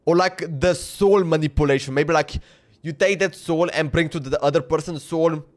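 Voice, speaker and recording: A man's voice: on the high side, normal speed. A man giving casual live reaction commentary as he streams a video game. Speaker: game livestreamer.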